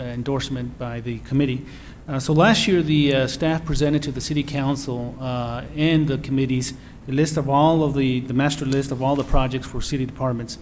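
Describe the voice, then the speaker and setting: A man's voice: medium pitch, a little hiss or hum. Man presenting formally, many uhs. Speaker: city staff presenter. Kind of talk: public meeting presentation. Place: city council chamber.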